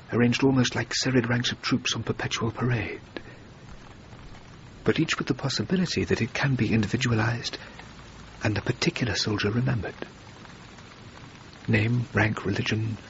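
An actor playing an earnest, self-doubting male architect in a radio drama, with a halting, thoughtful delivery. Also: medium pitch, a bit bright, muffled recording, a little hiss or hum, lightly acted. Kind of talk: BBC radio drama acting.

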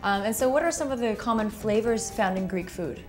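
A woman's voice: medium pitch, lively intonation, over music. A young woman hosting a cooking show, friendly and inquisitive as she questions a guest chef. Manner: friendly, questioning, quick reactions. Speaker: show host interviewer. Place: studio kitchen set.

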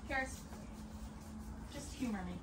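A middle-aged woman handling her dog in a trial, giving quiet commands and praise in a coaxing tone. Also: high-pitched, measured pace, noisy background. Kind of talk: coaching a dog during a trial. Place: large open-sided barn with hay bales. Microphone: far camera. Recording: echoey barn, distant mic, loud ambience.

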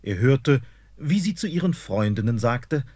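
A man narrating a fairy-tale radio play in an expressive storytelling manner. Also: medium pitch, a little slow, no room sound, studio quality.

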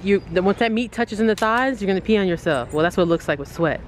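A woman in her thirties, loud and joking while thrift shopping, with store music around her. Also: medium pitch, expressive, fast, crowd noise. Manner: loud, joking, laughs often. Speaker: co-host. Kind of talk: casual thrift-shopping chat. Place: large thrift store, indoors. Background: store music, ambient noise.

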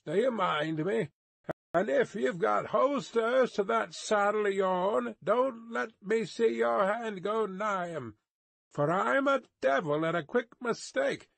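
Man acting a gruff, high-pitched, threatening coach guard. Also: fairly bright tone, dry recording, full character voice. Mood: menacing, challenging. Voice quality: gruff, growling. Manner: rustic old-fashioned speech, barking commands. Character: gruff, suspicious armed mail-coach guard, threatening and loud.

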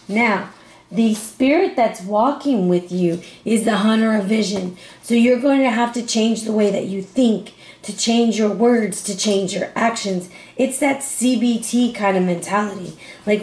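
A woman's voice: on the high side, a little mellow. Chatty woman tarot reader with a sore throat. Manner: chatty, upbeat, addresses viewers as family. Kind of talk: casual tarot reading to camera. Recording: echoey room.

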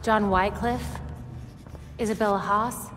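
An actress playing a decisive young female vigilante who talks briskly, in a game cutscene. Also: medium pitch, fairly even intonation, natural voice. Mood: focused. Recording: reverb with music score.

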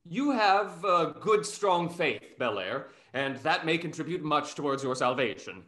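Male actor as snarky Restoration gentleman, youngish, higher voice, wry and ornate delivery. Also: expressive, measured pace. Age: young adult. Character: witty, snarky gentleman friend of a London rake. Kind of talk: stage acting in a Restoration comedy over video call. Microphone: video call.

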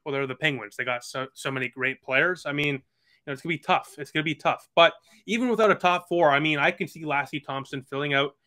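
A man's voice: on the high side, fast talker, bright, forceful tone. A man of about twenty, chatting earnestly as a podcast guest over a video call with lots of fillers. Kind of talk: casual video call chat.

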